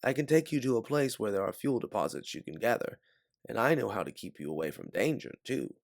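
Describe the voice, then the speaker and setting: Man playing a mysterious eager raider guide, lifted pitch. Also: animated, brisk, vocal fry, no room sound, studio quality. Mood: knowing, persuasive. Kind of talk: audiobook character voice.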